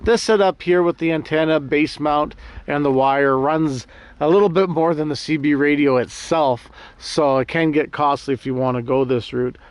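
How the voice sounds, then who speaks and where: Casual man with a slightly high-pitched voice. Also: moderate pace, dry recording. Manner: chatty, rambling, addresses viewers as guys and gang. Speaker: host of a car gear video series. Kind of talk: casual vlog product review.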